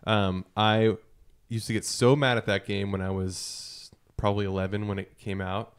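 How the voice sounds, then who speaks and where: Man, lowish smooth voice, casual podcast co-host, joking, self-interrupting. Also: little pitch movement, moderate pace. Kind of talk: casual group chat, anecdotes and gaming news.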